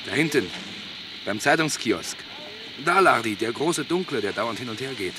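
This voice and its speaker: An actor playing a confident police inspector in a German crime radio drama, clipped and matter-of-fact. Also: male voice, medium pitch, lively intonation, moderate pace, over music, natural voice. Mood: watchful, focused. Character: brisk, confident police inspector running a stakeout.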